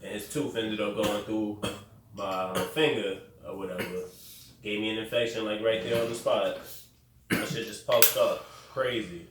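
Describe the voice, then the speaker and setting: A man's voice: on the low side, fairly even intonation, moderate pace, fairly bright tone. A young man hosting a podcast, telling a story in a blunt, casual way with swearing and restarts. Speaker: podcast host. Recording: very echoey room, distant mic, faint noise.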